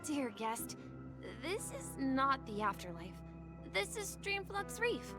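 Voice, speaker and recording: A woman playing a gentle, earnest young woman in a video game, speaking politely and with composure. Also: high-pitched, big pitch swings, slow, music in the background, exaggerated voice. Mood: calm concern.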